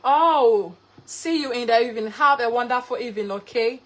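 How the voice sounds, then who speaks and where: A woman's voice: a little high, normal speed, a bit bright. A young woman from Ghana with a Ghanaian accent, hosting a live cooking stream and greeting viewers by name with repeated thanks. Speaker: cooking livestreamer.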